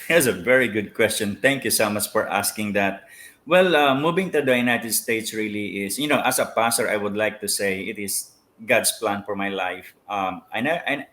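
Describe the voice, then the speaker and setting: A middle-aged man with a Filipino accent, a pastor answering interview questions in halting speech with many fillers and restarts. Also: on the low side, fairly bright tone, slight background noise. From Philippines. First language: Filipino language. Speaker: pastor, guest.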